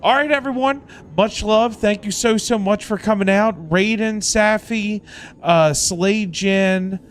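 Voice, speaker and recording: A man's voice: sits high, slow pace, slight background noise. A man chatting casually as a livestream host, exclamatory and effusive with his viewers. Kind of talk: casual livestream chat and game commentary. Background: background music.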